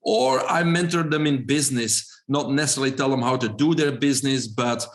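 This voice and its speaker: Man, Belgian accent, forties, podcast guest, relaxed storyteller, fillers. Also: mid-range voice, fairly quick. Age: 40s. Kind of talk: podcast interview.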